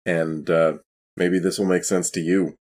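Tabletop game part: male player as sheepish bookworm, deep and husky, halting and apologetic. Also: little pitch movement, a little mellow. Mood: tentative. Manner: stammering, apologetic. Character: sheepish, nervous bookish man, eager to seem innocent. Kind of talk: tabletop game character dialogue.

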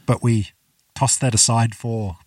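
A man's voice: mid-range voice, fairly even intonation, unhurried, darkish tone, no echo, recorded from a distance. A man co-hosting a podcast, chatting casually about an old film in a dry, wry way and correcting details. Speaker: podcast co-host.

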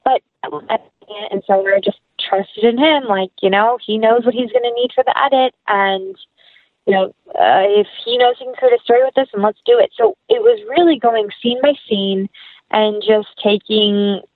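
A woman's voice: medium pitch, moderate pace, phone-quality sound. Chatty woman on a thin call line. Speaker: actress, interview guest. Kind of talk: casual interview conversation.

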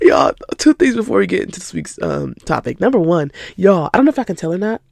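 A woman's voice: fairly low pitch, big pitch swings, quick pace, vocal fry, a little mellow, dry recording, studio quality. An eighteen-year-old woman from Atlanta hosting her own podcast in casual, rambling chat, sounding stuffy from a cold. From Atlanta, Georgia. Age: teens. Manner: chatty, rambling, laughs easily. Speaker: podcast host.

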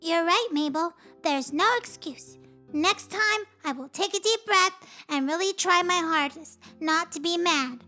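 A performer voicing a young boy pig in a children's show, slowly sounding out letters while he learns to read. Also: extremely high pitch, pushed and bright, soft background music, full character voice. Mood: apologetic. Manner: slow, sounding out letters one by one. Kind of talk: children's educational puppet show.

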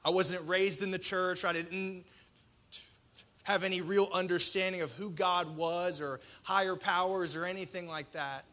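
A man preaches to an audience in a sharp, bright voice pitched a little high. He speaks colloquially and pokes fun at himself, leaning on dramatic pauses and emphasis. A slight stutter runs through his speech. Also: fairly even intonation. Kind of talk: personal testimony sermon to an audience.

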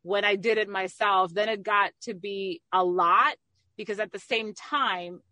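A middle-aged woman gives candid, conversational interview answers in a talkative way. Her voice sits at an ordinary pitch for a woman, and the recording is close and dry with no room sound. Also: measured pace, sharp tone, no echo. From Dominican Republic. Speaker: interview guest, magazine owner and entrepreneur.

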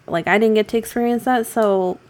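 A woman's voice: a little high, steady tone, a little fast, darkish tone. Rambling, swearing New England woman. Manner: rambling, swears casually, many fillers. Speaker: podcast co-host. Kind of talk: casual chat.

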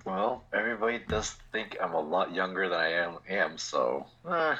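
A man in his forties co-hosting a podcast, laid-back and quick to giggle. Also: low-pitched, expressive, pushed and bright, slightly rough, muffled recording. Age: 40s. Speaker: podcast co-host. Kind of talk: casual podcast chat.

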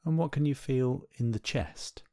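A man gently leading a guided body scan meditation, giving unhurried instructions with pauses. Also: medium pitch, no room sound, clean studio sound.

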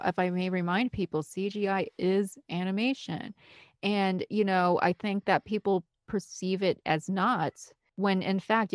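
A woman's voice: on the low side, dry recording, studio quality. A woman chatting as an interview guest, talkative and keen to explain things in a casual, conversational way. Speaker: guest, film composer and director.

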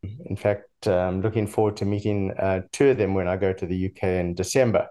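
A man's voice: low-pitched, steady tone, brisk, warm tone. A man in his sixties with a slightly mixed South African accent, telling his life story as an interview guest in a hesitant, reflective way. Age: 60s.

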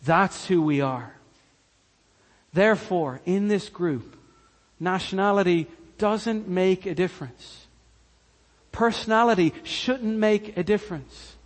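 A man's voice: high-pitched, slow, pauses often, long reverb tail. A man from Cork with a Cork Irish accent, preaching a sermon earnestly and repeating key lines for emphasis. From Ireland, Cork. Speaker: pastor.

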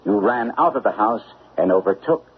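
Male actor as shrewd, exacting radio detective. Low, animated, formal delivery on a narrow, phone-like old recording. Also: moderate pace, a little hiss or hum, natural voice. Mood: assured, explaining. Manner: precise, formal, incisive delivery. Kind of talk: classic radio drama acting.

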